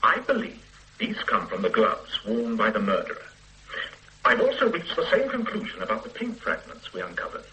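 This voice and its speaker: An actor playing a pedantic, self-satisfied forensic scientist who lectures the police in a radio drama. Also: male voice, mid-range voice, huge pitch swings, moderate pace, sharp tone, exaggerated voice, low-quality recording. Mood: lecturing. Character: pompous middle-aged male forensic scientist. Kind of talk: 1970s radio drama acting.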